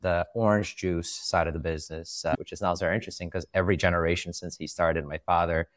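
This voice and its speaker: A man's voice: low voice, steady tone, fast talker, no room sound, studio quality. A man from Brazil and Belgium, with an accent mixed from Brazil, Belgium and Germany, speaking as a startup founder guest in an earnest, enthusiastic way. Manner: earnest, enthusiastic, with frequent restarts. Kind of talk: casual podcast interview.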